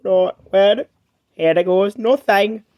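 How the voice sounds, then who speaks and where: A man voicing a touchy, hurt male steam engine in a fan-made cartoon dub, shaky and prone to yelling. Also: takes it slowly. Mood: nervous. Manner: shaky, high-strung, bursts into yelling.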